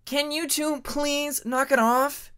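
A man voicing an exasperated female sand dragon who scolds loudly, in a fantasy audiobook. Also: extremely high pitch, fairly even intonation, takes it slowly, pitched above the performer's own voice, full character voice. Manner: loud, scolding.

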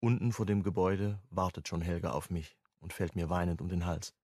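An actor playing a sober first-person narrator in a crime radio drama, restrained, in short sentences. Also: male voice, sits low, steady tone, a little fast, a little mellow, husky, no echo, clean studio sound. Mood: sober, recounting.

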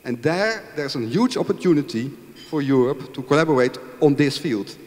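A man's voice: on the high side, moderate pace, a little mellow. A man in his fifties speaking emphatically as a panelist, arguing with rhetorical questions into a handheld microphone. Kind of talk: panel discussion argument. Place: large conference room with audience. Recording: echoey hall over handheld microphone.

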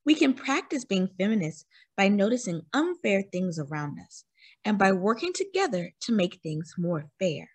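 A woman with a husky voice reading a children's picture book aloud expressively, putting questions to young listeners. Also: medium pitch, big pitch swings, normal speed, no echo. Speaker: read-aloud storyteller for children.

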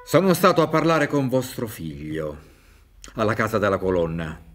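A man acting in a radio drama as a concerned village doctor, polite, measured and questioning. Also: lowish voice, animated, a bit bright, faint music behind. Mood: probing. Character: concerned, courteous village doctor, calmly probing.

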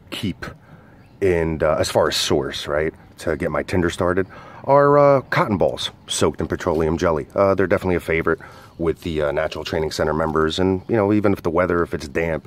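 Low-voiced man demonstrating outdoors. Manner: relaxed, filler-heavy explaining. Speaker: outdoor skills instructor. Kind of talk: casual hands-on demonstration. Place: backyard fire pit, outdoors.

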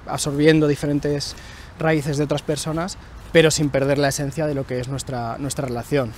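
A man's voice: a little high, darkish tone, a little hiss or hum. A man in his thirties from Madrid talking about his relationship in a home interview, hesitant with many restarts. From Madrid, Spain. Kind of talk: relaxed personal account. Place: living room at home, indoors.

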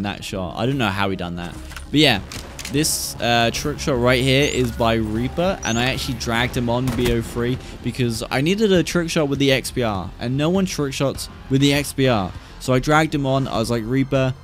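Young guy in his 20s rambling and hyping gameplay clips, studio mic in a small room, clear music playing under him. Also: mid-range voice. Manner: relaxed, rambling, hype reactions. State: recovering from losing his voice. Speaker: gaming YouTuber. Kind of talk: casual gameplay commentary. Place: small room, gaming setup. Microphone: studio mic on boom arm, webcam.

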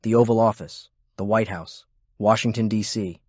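A man's voice: lowish voice, fairly even intonation, normal speed, a little mellow, no room sound, clean studio sound. A man reading scripted narration as an audiobook narrator.

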